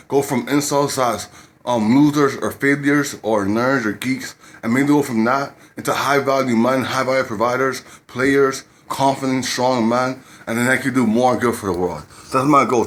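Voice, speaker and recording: A man giving dating advice to camera in a rambling casual way, often repeating himself and using blunt street slang. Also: medium pitch.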